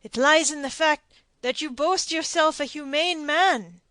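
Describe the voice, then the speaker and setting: A woman reading an audiobook and voicing a captured Spanish pirate nobleman who cries out in alarm, high and loud. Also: measured pace, no echo. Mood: composed. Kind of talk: audiobook character voice.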